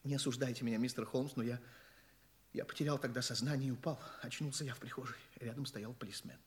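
A man's voice: mid-range voice, fairly even intonation, quick pace, close to an everyday voice. An actor playing a flustered, talkative male journalist in a radio play, rambling and stammering with fussy politeness as a shaken witness. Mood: shaken, mournful. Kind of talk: Russian radio play acting.

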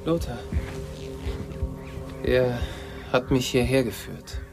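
An actor playing an anguished, obsessed man confronting a friend who betrayed him, insistent and halting, in a German radio drama. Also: mid-range voice, creaky, music in the background. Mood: hesitant.

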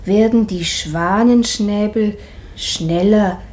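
A woman's voice: medium pitch, slow pace, darkish tone, close to an everyday voice. An actress playing a dry, sceptical lady's maid in a radio play, sounding unimpressed. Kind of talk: 1960s German radio play acting.

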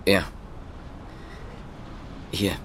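An actor playing a troubled, wary investigator in a German radio drama, speaking tersely and questioningly with hesitant pauses. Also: male voice, noisy background. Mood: subdued, terse.

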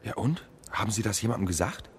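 An actor playing a folksy, skeptical police subordinate in a radio drama, with a wry manner. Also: male voice, lowish voice, lively intonation, fast, breathy edge, full character voice. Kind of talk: German radio drama acting.

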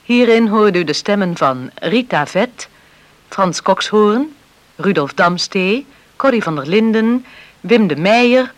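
Very animated radio drama announcer. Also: mid-range voice, takes it slowly. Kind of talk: scripted credits announcement.

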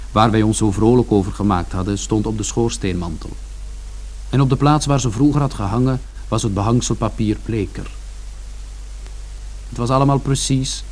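Actor as younger son, medium pitch, reflective storytelling, scripted radio drama narration, sombre. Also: male voice, darkish tone, natural voice. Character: George, reflective younger son recalling his family's bleak Saturday.

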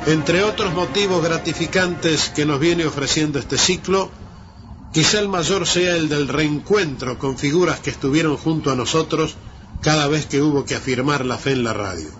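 A Uruguayan man presenting on the radio in a formal, measured and eloquent way, as if from a prepared script. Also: on the high side, a bit bright, faint music behind. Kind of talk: scripted radio narration and interviewing. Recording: dull lo-fi radio recording with hiss.

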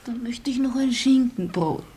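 A woman acting in a radio play as a nagging councilman's wife, pleading in a drawn-out whine. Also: fairly high pitch, mellow, slightly rough. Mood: plaintive, weary. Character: plaintive, nagging councilman's wife wanting home.

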